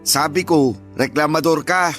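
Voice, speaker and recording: High-voiced, whiny young husband. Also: male voice, measured pace, fairly bright tone, faint music behind. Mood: nagging, irritated. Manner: whining, defensive. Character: lazy, selfish young cheating husband, whiny and shameless. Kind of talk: Filipino radio drama acting.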